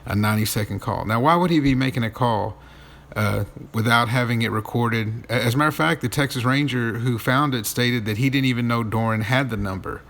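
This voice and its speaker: A man's voice: medium pitch, a little fast, creaky, a bit bright. A man narrating accusatory commentary, emphatic, with repeated phrases and rhetorical questions.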